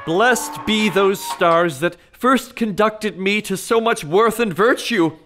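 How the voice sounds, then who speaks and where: Man acting effusive philosopher, drawn-out delivery, high voice, fawning, archaic comic audio play. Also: fairly bright tone, soft background music. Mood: effusive flattery. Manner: florid, fawning. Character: effusive, flattering visiting male philosopher. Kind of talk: farcical period audio drama acting.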